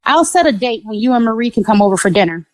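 An actress playing a sweetly sociable, scheming neighbour in an audio drama. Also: female voice, a little high, animated, quick pace, darkish tone, no room sound, natural voice. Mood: neighbourly. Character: scheming, sugary-sweet pregnant woman neighbour and friend.

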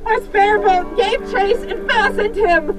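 Distant, very high-pitched anguished captain. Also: male voice, takes it slowly. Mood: urgent. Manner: beseeching, emphatic cries. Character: anguished father, a whaling captain begging for help. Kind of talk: theatrical audio drama acting. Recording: distant voice under loud music.

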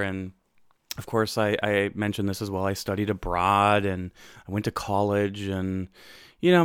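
Low smooth-voiced young man reflecting. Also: no echo, clean studio sound. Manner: conversational, many fillers and restarts. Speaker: podcast host. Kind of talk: reflective personal storytelling.

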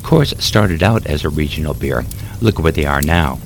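A man's voice: very deep voice, animated, moderate pace, creaky, background noise, exaggerated voice. A man doing a chatty, jumpy young camper. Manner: eager, stammering when scared. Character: chatty young male camper, easily rattled.

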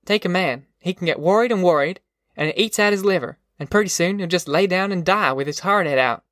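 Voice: medium pitch, a little fast, a bit bright, no room sound, studio quality, pitched above the performer's own voice, natural voice. A narrator doing a tough, commanding farm mother. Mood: proud, knowing. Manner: rustic folksy dialect, blunt and demanding. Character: Ma, tough determined middle-aged farm mother, plain-spoken and commanding. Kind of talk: audiobook character voice.

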